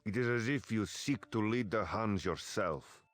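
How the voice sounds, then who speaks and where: An actor as a deep-voiced, haughty Hun warlord. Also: male voice, measured pace, no echo, soft background music, natural voice. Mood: haughty, challenging. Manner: haughty, commanding. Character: scheming, arrogant Hun warlord, a jealous elder brother. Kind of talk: video game cutscene voice acting.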